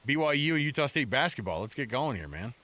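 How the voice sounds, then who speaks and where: A man's voice: medium pitch, sing-song, fast talker, pushed and bright, no echo. A man hosting a sports talk radio show, chatting informally in a casual, bantering way from a studio. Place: radio studio in Salt Lake City. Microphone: close studio microphone.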